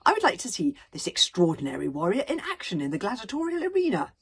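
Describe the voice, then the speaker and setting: A woman's voice: mid-range voice, wildly swooping pitch, quick pace, breathy edge, heavily acted. A woman voicing a fat, greedy, pompous male Roman consul with a drawl. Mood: lordly.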